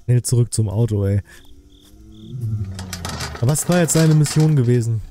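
A man's voice: mid-range voice, moderate pace, music in the background. Guy in his 30s hosting a reaction video, chatty casual comments, mid-range voice, indoors. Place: small room indoors at a desk.

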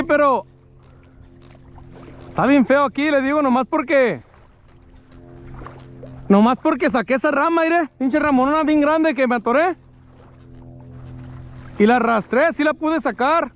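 A man's voice: very high-pitched, a bit bright, highs cut off. A man in his thirties with a Mexican accent, chatting casually and colloquially with some swearing as he fishes outdoors on a lake shore. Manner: colloquial, swearing, repeats phrases. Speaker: angler filming his fishing outing. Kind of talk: casual fishing vlog chat.

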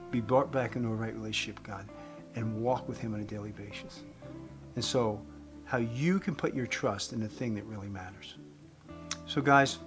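A man in his fifties giving an earnest evangelistic talk to camera as a host, phrasing deliberately with emphasis. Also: medium pitch. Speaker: host giving a faith message. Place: indoors, room with wooden beams. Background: guitar music.

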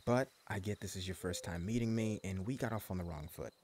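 A man's voice: deep voice, a little fast, darkish tone, slight background noise, natural voice. A man voicing a menacing post-apocalypse gang leader in an audio roleplay, speaking in a taunting, mock-friendly drawl. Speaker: voice actor.

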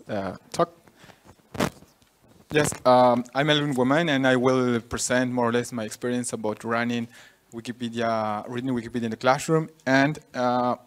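A young man from Peru, a native Quechua speaker, giving a conference talk with many fillers and restarts. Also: mid-range voice. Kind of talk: conference presentation. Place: conference room with audience.

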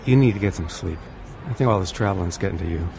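An actor playing a skeptical, grumbling young sailor in a radio drama, blunt and informal. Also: male voice, deep voice, quick pace, creaky, music in the background. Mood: puzzled concern.

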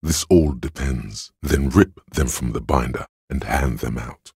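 An actor playing an inner voice of cold deductive logic in a video game, measured, deliberate and dryly condescending, with a gravelly, husky voice. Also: male voice, a bass voice, a little mellow, no room sound, studio quality, full character voice. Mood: dry, resigned. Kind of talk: video game voice-over, scripted narration. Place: dry studio recording.

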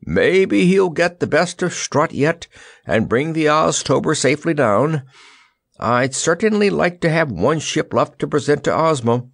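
A man voicing a cheerful, gallant wizard from a storybook, calling out loudly and heartily. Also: fairly high pitch, lively intonation, measured pace, gravelly, no echo, studio quality, voice pushed up, heavily acted. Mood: shouting. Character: cheerful, gallant old wizard shouting optimistically.

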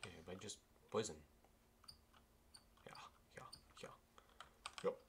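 A young man playing a game, talking in a low-key way and muttering at the screen. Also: takes it slowly, noisy background. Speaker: gamer. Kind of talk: casual gaming chat.

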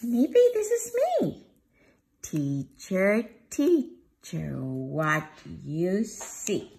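A woman preschool teacher reading a picture book aloud to young children in a sing-song, drawn-out way. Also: fairly low pitch, very animated, gravelly, darkish tone. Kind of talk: children's storybook read-aloud. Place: home dining room, indoors.

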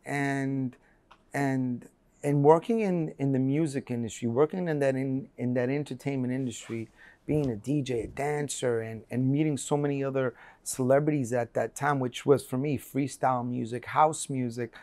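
A man's voice: mid-range voice, fairly even intonation, normal speed, mellow, no room sound. A man in his forties from Colombia, telling his life story in an earnest interview with many fillers and restarts, on a lapel mic. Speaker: interview guest. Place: bright room with large windows.

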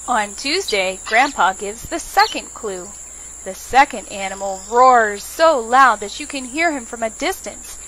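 A woman reads a preschool story in a high, very lively voice. She speaks to small children with simple questions and prompts. There is clear background noise. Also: a little slow, poor audio. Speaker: children's librarian reading stories.

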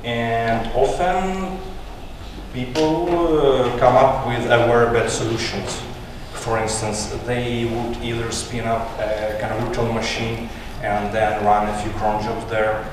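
A man's voice: mid-range voice, fairly even intonation, slow. A man in his thirties, a native Russian speaker, giving a tech conference talk in English with many fillers and restarts. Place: large conference room. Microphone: handheld mic, far camera. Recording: echoey hall recorded from far off, noisy.

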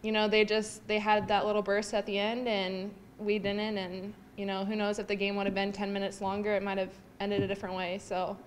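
A woman's voice: medium pitch, monotone, slight background noise. Young woman athlete at a press table. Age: young adult. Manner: measured, with frequent fillers. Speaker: college basketball player. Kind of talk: postgame press conference answers. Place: press room, indoors. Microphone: table mics in front.

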